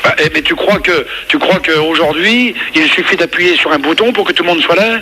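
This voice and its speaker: A man's voice: fairly high pitch, moderate pace, pushed and bright. A man working as a local union official, answering a phone call in a patient, hesitant and conciliatory way. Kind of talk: phone conversation. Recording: radio phone call with faint noise.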